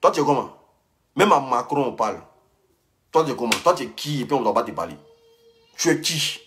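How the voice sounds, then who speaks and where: A man's voice: mid-range voice, sing-song, brisk, fairly bright tone, a little hiss or hum. A young man, ranting heatedly on a live stream and repeating himself as he answers a rival. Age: young adult. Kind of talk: casual live-stream rant.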